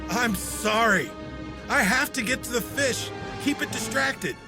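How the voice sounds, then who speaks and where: An actor playing a battle-hardened knight hero in a fantasy audio drama. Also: male voice, very high voice, lively intonation, measured pace. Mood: urgent. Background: faint music.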